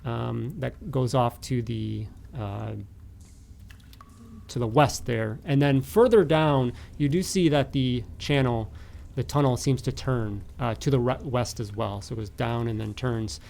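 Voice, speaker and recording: A male game master with a medium-pitched voice full of creak. He improvises narration for a tabletop game, feeling out his phrases in a halting, hesitant way. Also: darkish tone.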